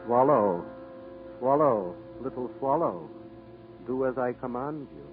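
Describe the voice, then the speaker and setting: A solemn, compassionate prince of a statue pleads and commands with wide melodic swings. This is vintage 1940s radio acting, narrow in sound, with clear music underneath. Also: male voice, mid-range voice, lively intonation, slow. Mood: commanding. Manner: solemn, pleading, repeats himself.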